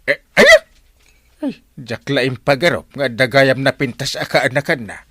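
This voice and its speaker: A man's voice: medium pitch, normal speed, pushed and bright. A man playing a jovial, meddling village captain uncle in an Ilocano radio drama, with an Ilocano accent and a habit of starting phrases with a hesitant "eh". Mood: excited, amused. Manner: hesitant, repeated "eh" before phrases.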